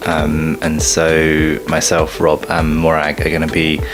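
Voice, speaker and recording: Guy with a low voice doing a chatty vlog, bit halting, music really loud behind him. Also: completely flat delivery. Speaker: theatre director vlogging the day. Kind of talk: casual vlog talk to camera.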